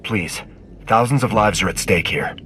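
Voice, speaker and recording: An actor playing a steady, dutiful military sergeant in a video game, speaking in a measured, firm, reassuring way. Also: male voice, on the low side, a little hiss or hum, natural voice. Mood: calm, persuasive.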